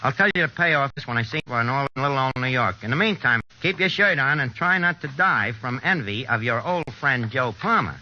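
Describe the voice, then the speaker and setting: An actor playing a wisecracking American small-time forger in an old radio drama, with slangy, hesitant, streetwise talk. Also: male voice, mid-range voice, expressive, brisk, few pauses, close to an everyday voice. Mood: amused, confiding. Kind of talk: 1940s radio drama acting. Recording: dull, noisy old radio recording.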